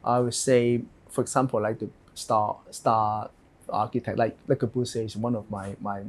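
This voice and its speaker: A man in his thirties from Hong Kong with a Hong Kong English accent, an architect answering interview questions in a halting, thinking-aloud way. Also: on the low side, level tone.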